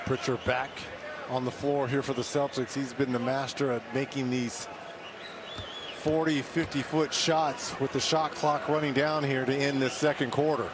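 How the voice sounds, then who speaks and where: A man's voice: medium pitch, flat delivery, normal speed. A man commentating on a live televised basketball game. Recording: noisy basketball arena behind. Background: arena ambience, bouncing ball.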